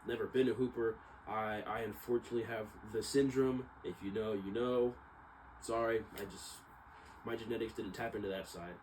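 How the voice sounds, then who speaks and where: Young American male, lowish pitch, slangy rambling vlog storyteller, noisy ambient recording. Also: fairly even intonation, normal speed, a little mellow. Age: young adult. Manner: rambling, self-correcting, slangy. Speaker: vlogger, exercise science student. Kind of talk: casual storytelling monologue.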